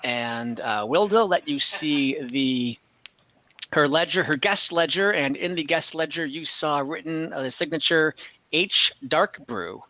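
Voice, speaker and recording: A man running a tabletop game as game master, narrating casually in a halting, conversational way. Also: a little high, bright, forceful tone. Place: online call, dry close room. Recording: dull lo-fi online call audio.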